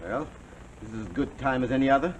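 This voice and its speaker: An actor playing a restrained, bitter aristocratic count in a 1940s television suspense drama, formal and quietly ironic. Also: male voice, mid-range voice, dull audio, a little hiss or hum, lightly acted. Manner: measured, formal, understated irony. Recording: echoey old television soundtrack.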